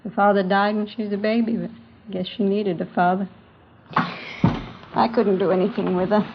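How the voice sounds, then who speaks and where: Through a muffled, faintly hissy vintage broadcast comes an actress playing a middle-aged American woman worn down by shame. She is a complaining, resigned, wistful mother in 1950s radio drama style, with a medium-pitched voice. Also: flat delivery, normal speed, close to an everyday voice. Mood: bitter, ashamed. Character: weary, bitter, ashamed widowed mother of a wayward daughter.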